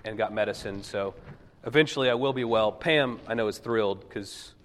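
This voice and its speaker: A man preaching a chatty, joking sermon to his congregation while sick with a cold, his voice husky and lowered. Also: normal speed, fairly bright tone. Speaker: pastor. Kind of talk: conversational sermon. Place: church sanctuary. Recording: long hall reverb.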